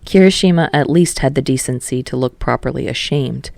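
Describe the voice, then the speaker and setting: A woman's voice: extremely low pitch, a little fast, vocal fry, darkish tone, no room sound. A woman reading scripted fiction as an audiobook narrator.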